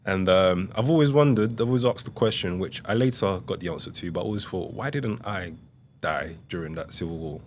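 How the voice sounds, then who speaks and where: A young man from Congo, a podcast guest, telling his life story in a soft, hesitant way with many pauses. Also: sits low, a little hiss or hum. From Republic of Congo. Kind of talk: reflective interview storytelling.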